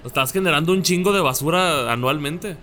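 Mexican male co-host, blunt slangy banter, slightly high voice, faint music bed. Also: brisk, sharp tone. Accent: Mexican Spanish. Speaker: podcast co-host. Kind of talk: casual chat.

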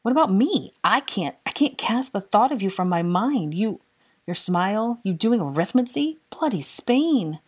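Audiobook role: woman as exasperated, lovesick young man, husky, impassioned, sardonic, stumbling over his declarations. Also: lowish voice, animated, normal speed, a little mellow. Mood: outraged, lovesick. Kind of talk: dramatic audiobook character dialogue.

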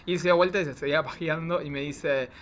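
An Argentine man chats in a camp, dramatic style. His voice is fairly high and bright, and he rattles along quickly in casual gossip over some faint background noise. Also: steady tone. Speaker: drag queen guest.

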